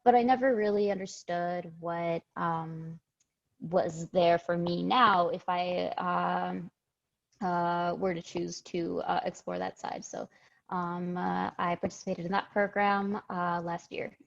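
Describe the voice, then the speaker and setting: A young woman from Chicago speaking on a panel over a video call, hesitant and halting. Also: fairly low pitch, fairly even intonation, gravelly. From Chicago, United States. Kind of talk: casual panel talk. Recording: muffled lo-fi call audio.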